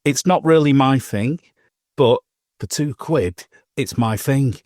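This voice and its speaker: A man's voice: mid-range voice, takes it slowly, darkish tone, no echo, studio quality. A man co-hosting a modelling podcast, telling shopping stories in a chatty, rambling way. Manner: chatty storytelling with laughs and restarts. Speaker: podcast co-host. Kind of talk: casual chat.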